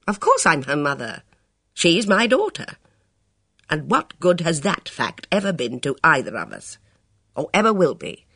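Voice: medium pitch, huge pitch swings, husky, dry recording, clean studio sound, pitched above the performer's own voice, exaggerated voice. A reader voicing a bold, reckless middle-aged woman who speaks sharply and commandingly. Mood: imperious. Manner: brisk, imperious, sharp.

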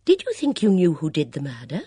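A woman voicing a lively, blunt English vicar's wife in an audiobook, eager, affectionate and emphatic. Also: sits low, brisk, breathy edge, no room sound, clean studio sound, voice pushed up, heavily acted. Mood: concerned, urgent.

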